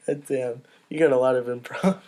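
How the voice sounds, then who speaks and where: A man's voice: medium pitch, fairly even intonation, measured pace, background noise. A man hosting a casual comedy table read, reading stage directions and giving informal, self-deprecating asides.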